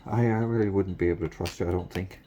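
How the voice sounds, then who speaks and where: A man's voice: deep voice, steady tone, fast, dark tone, muffled recording, a little hiss or hum. A congested man chatting casually and rambling, repeating himself, at home at night. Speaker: craft vlogger. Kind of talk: casual chat to camera. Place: home room at night, lamp on.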